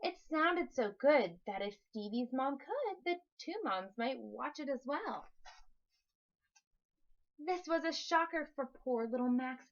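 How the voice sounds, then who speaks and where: A woman reading a rhyming children's story aloud in an expressive narrating style. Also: sits high, a little slow, highs cut off. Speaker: storybook reader.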